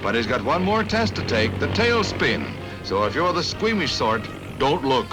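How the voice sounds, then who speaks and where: A man's voice: medium pitch, sing-song, normal speed. An American man reading scripted 1950s newsreel narration in a dramatic, punchy announcer style on a vintage soundtrack. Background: music.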